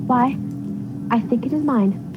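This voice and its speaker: A woman's voice: high-pitched, measured pace, muffled recording, music in the background. A woman playing a meek, nervous young servant girl, deferential and hesitant, in a radio play. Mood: timid.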